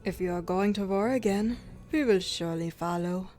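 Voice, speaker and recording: A woman's voice: medium pitch, moderate pace, a little mellow, faint music behind, lightly acted. A woman voicing a cheeky, battle-scarred fae woman war leader in an audiobook, teasing and irreverent. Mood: resolute. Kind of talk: audiobook character voice.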